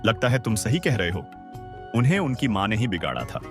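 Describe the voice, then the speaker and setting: An old village father played by a man in a cartoon moral tale, with lively, swinging intonation. He sounds worried and disappointed with life, and clear music plays behind him. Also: fairly low pitch, fairly quick. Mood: agreeable. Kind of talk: Hindi cartoon moral story dialogue.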